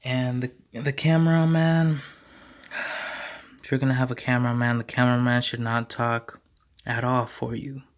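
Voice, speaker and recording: Young guy podcasting solo, hoarse, slangy and repetitive, kinda flat monotone. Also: mid-range voice, normal speed, long pauses. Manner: slangy, repeats himself, many fillers. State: hoarse, losing his voice. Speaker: podcast host. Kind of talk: casual rambling monologue.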